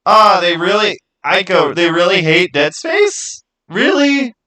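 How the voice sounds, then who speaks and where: Bright-voiced man chatting casually on stream. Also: high-pitched, sing-song. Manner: informal, opinionated, halting and repetitive. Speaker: livestream host. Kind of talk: casual livestream chat answering viewer comments.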